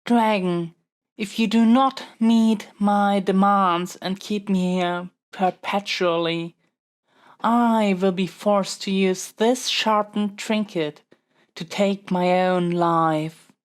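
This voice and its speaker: Pampered young royal heir, female performer, fantasy audio drama. Very unhurried delivery, mid-pitched, wordy and proper, earnest haughtiness with a flustered, pleading edge. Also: fairly even intonation, close to an everyday voice. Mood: dramatic blackmail. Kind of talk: scripted solo audio roleplay.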